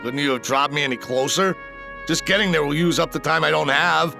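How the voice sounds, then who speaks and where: A man's voice: fairly high pitch, a little fast, creaky. A man playing a wry, confident army major in a sci-fi game, speaking in a dry, informal way. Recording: music behind the voice.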